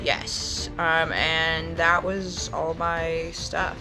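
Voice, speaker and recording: A woman's voice: deep voice, level tone, extremely slow. A woman chatting casually as a player in a tabletop game, with music under her voice. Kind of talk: casual tabletop play talk.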